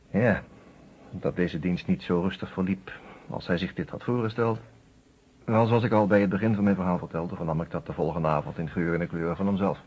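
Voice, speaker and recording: A chatty curious lawyer in an old radio play, swingy pitch, dull hissy recording. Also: male voice. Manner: animated, conversational storyteller. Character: genial, inquisitive male lawyer friend narrating the case. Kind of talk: Dutch detective radio play narration and dialogue.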